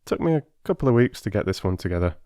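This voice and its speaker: A man speaking as an audiobook narrator and producer, in a measured, courteous storytelling style. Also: on the low side, sing-song, dark tone, no echo, clean studio sound. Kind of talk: measured storytelling and listener address.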